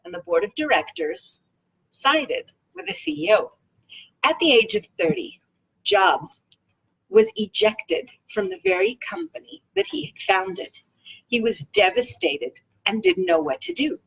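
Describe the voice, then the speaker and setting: High, animated woman's voice reading aloud. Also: breathy edge, poor audio. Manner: expressive, playful. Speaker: read-along host reading a book aloud. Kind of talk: informal read-aloud of a self-help book.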